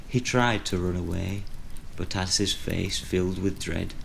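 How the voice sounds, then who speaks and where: Audio drama lead: older male horror writer, low gravelly register, reflective and wry, faint noise behind. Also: rough recording. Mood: ominous recital. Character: retired horror storyteller, older man, wry and brooding. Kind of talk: audio drama dialogue and storytelling.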